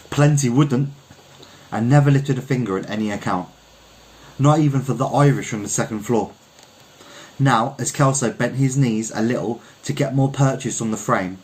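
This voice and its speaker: Measured man reading literary fiction. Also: medium pitch, darkish tone, low-quality recording. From Birmingham, England. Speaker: story reader. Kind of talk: literary short story narration.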